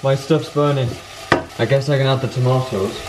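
A man's voice: medium pitch, fairly even intonation, moderate pace. A young man in a home kitchen, chatting casually and with self-mocking bemusement as he fumbles through a recipe. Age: young adult. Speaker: vlogger. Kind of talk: casual cooking vlog. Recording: cooking noise behind.

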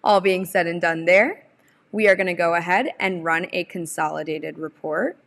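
A young adult woman presenting a software tutorial, explaining each step in an informal, instructional way. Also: lowish voice, steady tone, normal speed, gravelly. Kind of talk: instructional screen-share walkthrough.

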